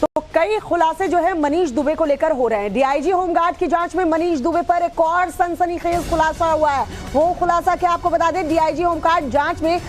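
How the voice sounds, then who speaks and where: A female news anchor speaks formally and steadily in a high voice. Faint music sits beneath her. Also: normal speed, few pauses. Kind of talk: news presenting.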